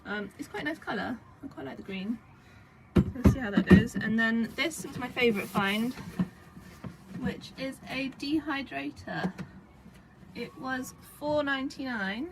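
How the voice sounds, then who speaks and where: A young woman, croaky from a cold, chatting casually at home about secondhand items she resells, with lots of fillers. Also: sits high, a little slow. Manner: chatty, rambling with many fillers. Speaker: vintage reseller vlogger. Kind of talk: casual chat. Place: home indoors. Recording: home recording with clear background noise.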